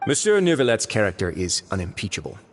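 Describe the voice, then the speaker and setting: An actor voicing a composed, urbane prison overseer in a video game, formal with dry wit. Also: male voice, lowish voice, big pitch swings, quick pace. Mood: relaxed, respectful. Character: suave, composed, dryly witty male prison overseer. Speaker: prison administrator character.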